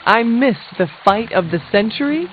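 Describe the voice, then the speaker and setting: A woman voicing a stern but curious resurrected warrior in a video game, speaking in a formal, measured, dry way. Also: fairly high pitch, animated, natural voice. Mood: curious, amused. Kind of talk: scripted video game dialogue. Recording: thin phone-like band, music behind.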